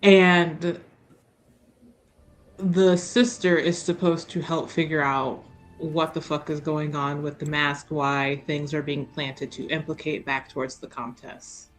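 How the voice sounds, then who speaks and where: A woman's voice: low voice, level tone, slow, soft background music, low-quality recording. A woman chatting casually and explaining things emphatically as a player in a tabletop roleplaying game.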